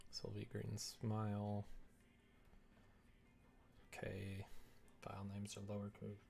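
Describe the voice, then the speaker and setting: A man's voice: on the low side, monotone, slow, vocal fry, darkish tone, faint music behind. A man livestreaming his development work, thinking aloud casually and muttering to himself in short fragments. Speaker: livestreamer developing a visual novel.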